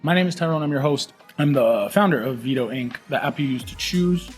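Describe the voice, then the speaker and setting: A man's voice: fairly high pitch, a little fast, soft background music. A man hosting a casual restaurant review show from a small restaurant. He talks in an upbeat, promotional way and repeats words for emphasis. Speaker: podcast host.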